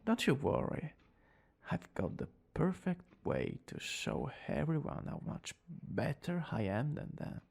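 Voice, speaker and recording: Guy doing a smug teasing young villain for asmr romance, slow n drawly, bit of gravel in the voice. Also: mid-range voice, huge pitch swings, a little mellow, heavily acted.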